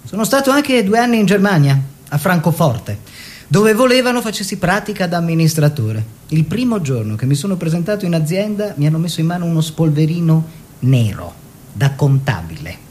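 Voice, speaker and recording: Young well-to-do painter: higher-pitched, very animated, expressive stage monologue, relishes each short phrase. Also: male voice, fairly quick. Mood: proud, confiding. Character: eager young bourgeois painter fleeing the family business. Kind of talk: theatrical radio monologue.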